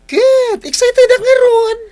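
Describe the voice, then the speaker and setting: An actress with an Ilocano accent playing a nosy, teasing, excitable young best friend in a comic radio drama. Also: female voice, extremely high pitch, slow pace. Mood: excited, playful. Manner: teasing, exclamatory.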